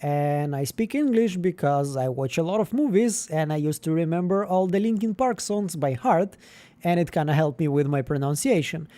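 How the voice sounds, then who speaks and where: Man, livestream host, rambling, slightly high, mellow voice. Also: animated, moderate pace. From Israel. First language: Russian. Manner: rambling, with frequent fillers and restarts. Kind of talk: casual livestream chat.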